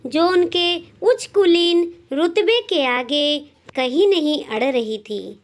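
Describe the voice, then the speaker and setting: A woman narrating a scripted moral story as a storyteller, in deliberate phrases with frequent pauses. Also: high-pitched.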